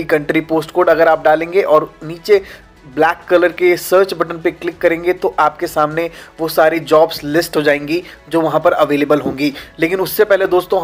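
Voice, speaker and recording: A young man hosting a how-to video, walking viewers through a website in a friendly, chatty way and often calling them friends. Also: a little high. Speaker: YouTube channel host. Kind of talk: informal tutorial walkthrough. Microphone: lapel mic. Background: faint background music.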